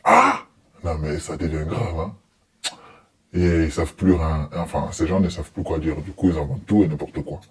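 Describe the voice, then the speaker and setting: A man's voice: a bass voice, normal speed. A man giving informal, opinionated reaction commentary in a blunt, exasperated and dismissive colloquial way.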